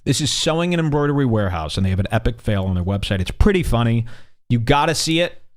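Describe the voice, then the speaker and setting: A man hosting a comedy radio show, setting up a prank call with mocking commentary and drawn-out emphasis on words. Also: medium pitch, very animated.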